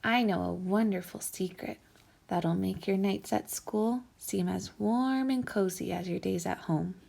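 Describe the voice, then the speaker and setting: Gentle mother raccoon, played by a woman reader, unhurried, creaky voice, loving, reassuring, storybook character. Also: mid-range voice. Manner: gentle, soothing.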